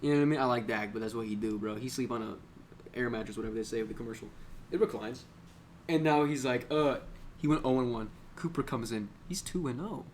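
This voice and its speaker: A man chats as a sports podcast co-host in loose, slangy banter, joking and mimicking the people he talks about. His voice sits at a middling pitch. There is noticeable noise in the background. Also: fast. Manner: slangy, jokey, mimics players and coaches.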